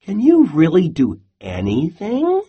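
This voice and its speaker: A tiny, eager squirrel with a high, squeaky voice that jumps all over, as a man puts him on. His bright questions come out husky around the edges. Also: wildly swooping pitch, measured pace, muffled recording, full character voice. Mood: curious. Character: small eager male squirrel.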